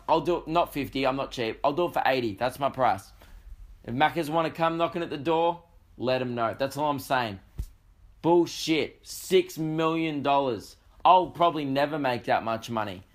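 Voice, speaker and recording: Australian male podcast host, young, croaky, sweary comic rambler. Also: medium pitch. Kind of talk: casual comedic monologue.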